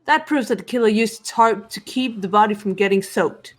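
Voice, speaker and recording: A woman plays a cool young female detective in a visual novel game. She speaks at a medium pitch, deliberate and assertive as she lays out deductions, with music underneath. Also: lightly acted. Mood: explaining. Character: cool, analytical teenage girl detective. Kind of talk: fan-voiced visual novel game dialogue. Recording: music behind the voice.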